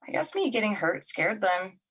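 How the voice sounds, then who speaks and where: An actress plays a wounded young woman in an audio drama. She speaks in a medium-pitched voice, casual and halting. She is wary yet openly candid about herself. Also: sing-song, a bit bright, husky. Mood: vulnerable, confessing. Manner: casual, hesitant, slangy.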